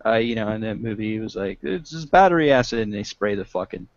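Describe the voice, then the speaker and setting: A man's voice: lowish voice, lively intonation, no room sound. A young man co-hosting a casual political podcast from home over a video call, joking and laughing as he talks. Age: young adult. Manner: joking, laughs often, drawn-out delivery. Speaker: podcast co-host. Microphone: webcam mic on video call. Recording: dull lo-fi video-call audio.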